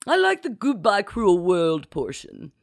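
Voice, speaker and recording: A woman voicing a grand, wistful older duchess in an audiobook, theatrical, drawn-out and indulgent. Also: medium pitch, wildly swooping pitch, vocal fry, no echo, clean studio sound, pitched above the performer's own voice, exaggerated voice. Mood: musing. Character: grand, wistful older duchess reminiscing about court intrigue.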